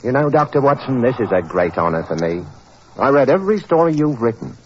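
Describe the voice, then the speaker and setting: An actor playing a chatty, respectful police constable in a 1940s radio drama. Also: male voice, mid-range voice, sing-song, a little fast, close to an everyday voice. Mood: eager, deferential. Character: eager, deferential working-class British police constable. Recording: dull, faintly noisy 1940s radio recording.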